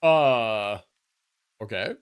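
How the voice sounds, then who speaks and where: A man's voice: fairly low pitch, animated, extremely slow, smooth. A young man livestreaming a game, chatting casually and irreverently with swearing as he answers his chat. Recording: game music behind the voice.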